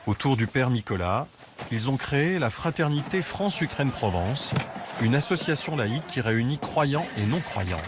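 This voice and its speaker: A man reading scripted documentary narration in a measured voice. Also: medium pitch, lively intonation, noisy background. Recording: voice-over with loud music behind.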